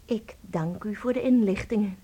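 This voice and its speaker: An actress playing an anxious, devoted wife in a radio play, tender and theatrical, with a breathy delivery. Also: female voice, mid-range voice, moderate pace, close to an everyday voice. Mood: polite, nervous. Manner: theatrical, breathy, swinging from hushed to agitated.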